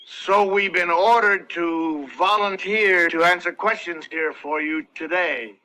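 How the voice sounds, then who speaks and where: An actor playing a composed army master sergeant answering reporters in a 1960s war film, heard through a poor playback. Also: male voice, high-pitched, fairly even intonation, a little slow, sharp tone. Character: steady male army master sergeant briefing press. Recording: poor film clip played back.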